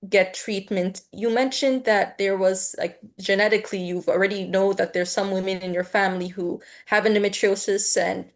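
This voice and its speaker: A young woman from Egypt who is moderating an online panel. She has a medium-pitched voice and a relaxed, conversational delivery. Her speech carries small hesitations as she puts her questions together. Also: moderate pace, few pauses.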